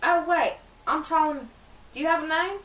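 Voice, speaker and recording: A woman's voice: high voice, expressive, moderate pace, a bit bright, voice pushed up. A woman voicing an awkward, polite young man in a romance story, hesitant and rambling. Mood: gently curious. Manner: hesitant, rambling, repeats himself. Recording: distant mic, roomy echo, faint noise.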